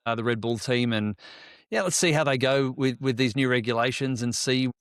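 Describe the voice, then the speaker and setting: A man's voice: medium pitch, normal speed, smooth, no echo. Friendly male podcast host, dry sound. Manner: friendly, asks questions, says "mate". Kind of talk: casual chat.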